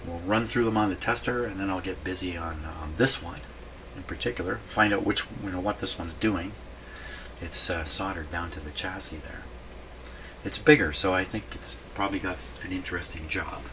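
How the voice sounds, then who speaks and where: A man with a deep voice talks through hands-on bench work as if thinking aloud. He is casual and unhurried, musing with a dry sense of humor. The recording has clear background noise, in an indoor home workshop. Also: fairly bright tone, poor audio. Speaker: host of a radio repair video.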